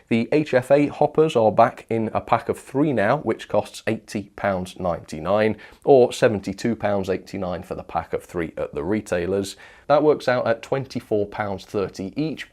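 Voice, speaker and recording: Low-voiced British man reviewing products. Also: darkish tone. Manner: opinionated, conversational, tag questions and asides. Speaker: presenter of a model train review channel. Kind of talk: product news commentary, casual review.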